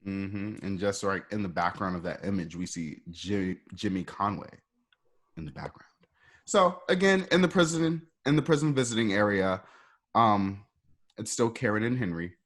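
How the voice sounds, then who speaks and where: Male narrator, lowish voice, informal and halting, setting scenes for a radio play. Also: lively intonation, fairly bright tone. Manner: halting, improvised phrasing. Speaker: narrator reading stage directions.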